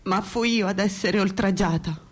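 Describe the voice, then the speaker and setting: An actress playing a wronged asylum inmate, reciting bitter verse in a solemn, theatrical way. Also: female voice, mid-range voice, moderate pace, close to an everyday voice.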